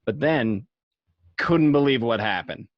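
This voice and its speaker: A man's voice: medium pitch, animated, unhurried, dry recording, lo-fi sound, lightly acted. A man playing a cynical, streetwise gangster who tells his own crime story in a radio drama. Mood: wry, incredulous. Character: cynical streetwise young mobster narrating his own arrest. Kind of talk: first-person crime drama narration. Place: home, remote online recording.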